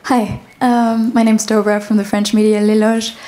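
Female journalist, mellow tone, polite and a little nervous. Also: medium pitch, little pitch movement, normal speed. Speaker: journalist asking a question. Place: festival press conference room.

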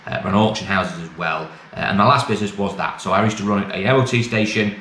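Fast-talking British man, echoey room. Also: lowish voice, a bit bright. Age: middle-aged. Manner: rambling, matter-of-fact, colloquial. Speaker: used car dealer and vlogger. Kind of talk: casual chatty business update to camera. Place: small bare indoor office. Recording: echoey small room, clear background noise.

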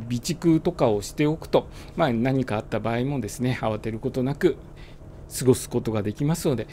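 Male motovlogger, calm chatty explainer, warm mellow tone, light wind hiss under the voice. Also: fairly high pitch. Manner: relaxed, filler-heavy, explanatory. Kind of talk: casual riding monologue. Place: riding a motorbike on suburban roads.

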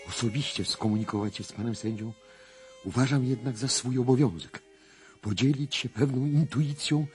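An actor playing a self-assured, probing clairvoyant investigator in a radio drama, speaking in a formal, deliberate and emphatic husky voice. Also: male voice, mid-range voice, close to an everyday voice.

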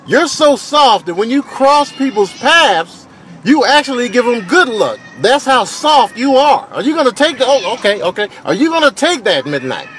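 A man voicing an excitable bird fight commentator in a comic animal voiceover, with a dramatic, goading delivery. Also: very high-pitched, normal speed, a little hiss or hum, full character voice.

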